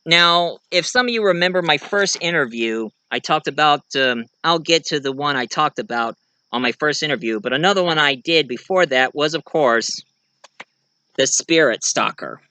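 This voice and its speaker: A man talking casually and ramblingly about stories he wrote, halting and repeating phrases as he goes, with an animated storyteller's way and the odd "eh" tagged on. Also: on the high side, clear, resonant tone, dry recording. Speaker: video creator and amateur writer.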